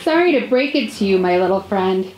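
A woman's voice: medium pitch, lively intonation, brisk, darkish tone, slight background noise, voice pushed down, natural voice. A woman voicing a sly male fox with a sweet tooth, teasing and drawling, in an echoey room. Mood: blunt.

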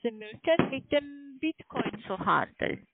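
High-pitched foreign-accented woman on phone. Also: animated, background noise. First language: not German. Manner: insistent, halting, often seeking confirmation. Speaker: call centre telemarketer selling online trading. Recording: muffled phone line, fluctuating microphone volume.

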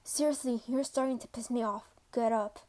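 Stern male corporal, played by a woman, clipped orders, gruff and cold, story narration piece. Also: a little high, steady tone, moderate pace, dark tone, pitched below the performer's own voice, close to an everyday voice. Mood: stern, demanding. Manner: curt, commanding, intimidating.

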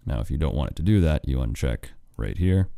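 A deep-voiced American man walks through a software tutorial step by step. His voice is mellow and smooth, recorded dry and close like a studio voice-over. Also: animated, fairly quick, no room sound. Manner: plain step-by-step explaining. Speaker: audio engineer and instructor.

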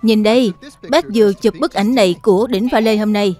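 A man's voice: high-pitched, brisk, a little mellow, faint music behind, lightly acted. A man voicing a kindly adult father animal in a dubbed children's cartoon. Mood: friendly.

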